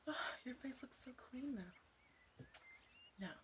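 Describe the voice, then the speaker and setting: A woman playing a flirty, caring witch in an ASMR roleplay, soft-spoken and often whispering, with a gentle, intimate, hesitant way of talking. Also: sits high, animated, slow. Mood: doting, playful.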